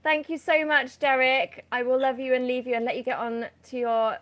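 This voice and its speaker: A woman's voice: high-pitched, flat delivery, normal speed, fairly bright tone. A woman speaking casually as the host of an interview chat. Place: remote online video chat.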